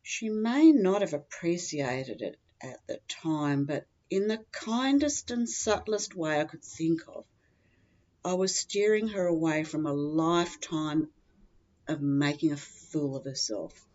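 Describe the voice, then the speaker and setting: A woman's voice: sits low, unhurried, a little mellow, muffled recording. A woman with an Australian accent reading a scripted humorous first-person story in a dry, matter-of-fact comic way. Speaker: humorous writer reading their own story.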